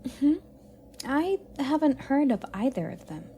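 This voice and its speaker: A woman playing a curious, playful young goddess in an audio roleplay, sweet and expressive with a slightly haughty streak. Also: sits high, measured pace, slight background noise, heavily acted. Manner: inquisitive, expressive, cooing at a pet. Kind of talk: romantic audio roleplay addressed to the listener.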